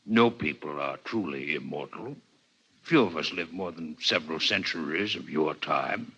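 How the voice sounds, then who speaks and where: An actor playing a courteous, learned alien doctor with formal, precise phrasing in a 1950s radio drama. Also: male voice, a bass voice, moderate pace, pushed and bright, husky, exaggerated voice. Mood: lecturing. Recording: muffled 1950s radio recording.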